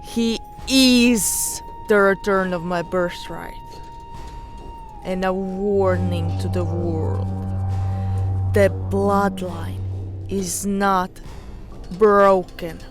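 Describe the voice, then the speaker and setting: A woman playing a proud, fervent highborn noblewoman in a fantasy audio drama, speaking in a solemn, deliberate, theatrical way. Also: steady tone, faint music behind. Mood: fervent, resolute.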